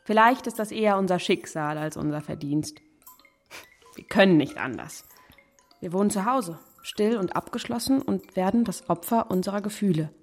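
An actress playing a mature, reflective gentlewoman of quiet feeling in a German period radio play, speaking earnestly. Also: medium pitch, moderate pace, faint music behind, lightly acted. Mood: earnest, moved.

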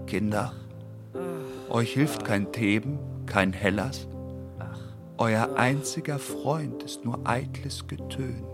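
A man's voice: medium pitch, takes it slowly, over music. An actor playing an anguished old Greek man, declaiming solemnly in a verse radio drama. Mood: grieving, solemn.

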